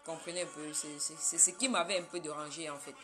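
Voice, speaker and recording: A woman's voice: low voice, fairly even intonation, fairly quick, music in the background. A woman from Cameroon, a Yemba speaker, hosting a casual live stream and talking straight to her viewers.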